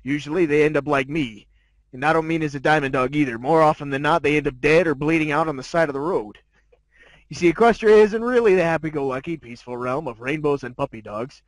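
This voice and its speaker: Storybook lead: snobby, sardonic talking dog acted by a man, slightly high voice, dramatic fan fiction narration. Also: fast talker, dry recording, natural voice, poor audio. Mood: dismissive. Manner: haughty, jaded, wry. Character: snobby, sardonic male diamond dog telling his life story.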